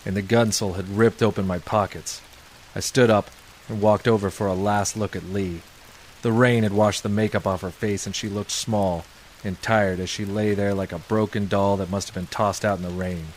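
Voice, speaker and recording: An actor playing a world-weary, cynical private detective in old-time radio noir style, deadpan and wisecracking. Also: male voice, fairly low pitch, lightly acted. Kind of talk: 1940s noir radio detective acting with first-person narration.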